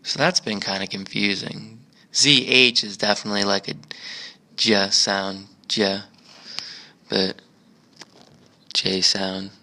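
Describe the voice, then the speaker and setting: A man's voice: on the low side, steady tone, slow, pauses often, sharp tone. An American man chatting casually as a travel vlogger in a museum park, rambling with many fillers and restarts.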